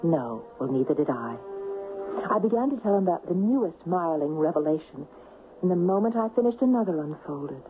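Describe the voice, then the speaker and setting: A woman's voice: on the low side, expressive, brisk, over music, lightly acted. An actress playing an earnest, tender young woman in love, dramatic in a 1970s radio play. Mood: earnest narrating. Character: young woman dreamer and tender, pleading royal lover. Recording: very dull, phone-like old radio recording.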